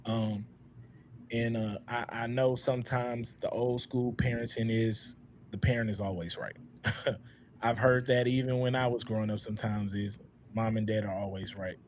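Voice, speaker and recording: A man in his thirties hosting a casual panel talk, speaking in a reflective, unhurried way with many "uh"s and a loud voice. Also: fairly low pitch, a little hiss or hum. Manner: relaxed, reflective, frequent "uh" and "all right?". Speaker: podcast host.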